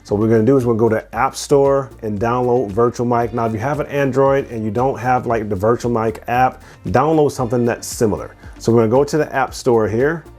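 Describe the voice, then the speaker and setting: A man's voice: mid-range voice, fairly quick, few pauses, darkish tone, soft background music. A man giving a casual, conversational product review as host, explaining things step by step and repeating phrases as he goes.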